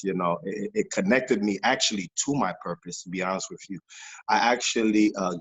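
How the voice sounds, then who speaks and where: A man from Haiti, a pastor and music missionary, talking in an animated interview with many fillers and repeated phrases. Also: lowish voice, breathy edge, rough recording. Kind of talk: casual interview testimony. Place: New York based, remote interview.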